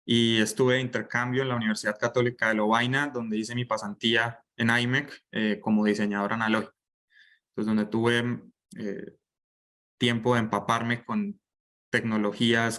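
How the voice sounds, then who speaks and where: A man's voice: lowish voice, little pitch movement, moderate pace, a bit bright. A young man with a Colombian accent, speaking as a guest lecturer and doctoral student in an informal online class. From Bucaramanga, Colombia. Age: young adult.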